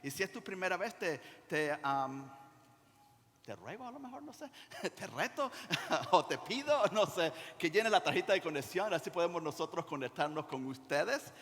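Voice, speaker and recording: A man in his sixties with a Venezuelan Spanish accent, preaching as a pastor in an informal, conversational way, often halting and repeating words. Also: fairly high pitch, expressive, fairly bright tone. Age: 60s. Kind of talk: sermon with anecdotes and prayer, conversational. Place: church hall during a service. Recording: reverberant hall.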